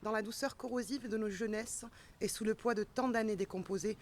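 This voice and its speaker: A woman reading poetry aloud at a live event in a measured, careful way. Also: a little high, steady tone, darkish tone. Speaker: poetry reader and translator. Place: outdoors at a gallery event.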